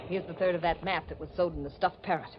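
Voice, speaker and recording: Actress as a ruthless femme fatale, muffled noisy recording. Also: female voice, on the low side, fairly even intonation, quick pace, natural voice. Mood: mocking, scheming. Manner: hard-boiled, bossy, sardonic. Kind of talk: 1940s radio thriller acting.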